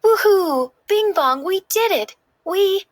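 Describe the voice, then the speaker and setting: A woman voicing a hopeful, urgent female emotion character from a children's story, bright and commanding in manner. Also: very high-pitched, expressive, slow pace, no echo, pitched above the performer's own voice, heavily acted. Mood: elated.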